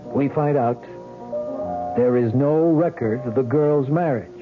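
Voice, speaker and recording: A man's voice: mid-range voice, natural voice. An American man playing a probing, skeptical police lieutenant in a vintage radio drama, questioning insistently. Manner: questioning, insistent, halting when reasoning. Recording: tinny band-limited old radio recording, music.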